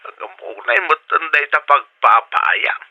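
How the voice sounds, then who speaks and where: Radio drama: questioning Ilocano father, phone filter, piercingly bright tone, slightly high pitch. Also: expressive, measured pace, husky, muffled recording, full character voice. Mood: concerned, grumbling. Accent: Filipino, Ilocano. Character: concerned father of a grown working daughter. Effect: telephone filter.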